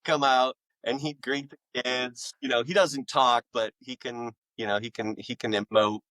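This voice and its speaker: A man with a mid-range voice chats as a guest author on a podcast. He speaks informally and haltingly, wandering from one thought to the next. The sound is dry, with very little room in it. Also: medium pitch, fairly even intonation, a bit bright, no echo, poor audio. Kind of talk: casual conversational interview.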